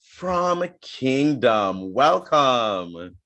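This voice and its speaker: A man's voice: medium pitch, big pitch swings, extremely slow, bright, forceful tone, muffled recording. A man hosting a conversational interview, speaking as the one who asks the questions. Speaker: interview host.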